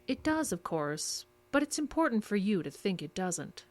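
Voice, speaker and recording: A woman's voice: mid-range voice, sing-song, darkish tone, natural voice. Woman acting a cryptic, composed, lilting interrogator. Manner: measured, controlled, evasive. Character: cryptic, composed female interrogator offering help. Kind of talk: horror audio drama acting.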